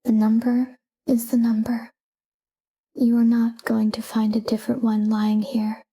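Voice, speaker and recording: Breathy, whispering woman guiding sleep meditation. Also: on the high side. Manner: calm, unhurried, short phrases with pauses. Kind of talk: soft guided sleep meditation, whispered talk-down.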